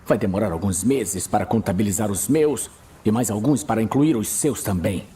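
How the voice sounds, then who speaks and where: A man playing a weary, earnest male archmage, speaking in a hushed, measured, urgent way in a fantasy audio drama. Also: fairly low pitch, slightly rough. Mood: restrained. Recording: music bed behind voice.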